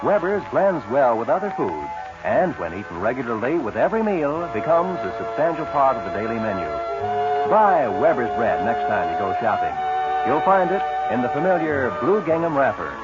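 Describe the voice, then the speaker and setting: A man's voice: mid-range voice, sing-song, normal speed. A man reading a scripted 1940s radio advertisement as a polished announcer. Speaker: commercial announcer. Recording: muffled 1940s radio recording, music behind.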